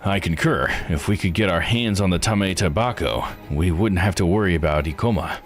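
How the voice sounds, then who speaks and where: A man voicing a formal, calculating investigator from a visual novel, reading dialogue and lore deliberately. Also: deep voice, lightly acted. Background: music.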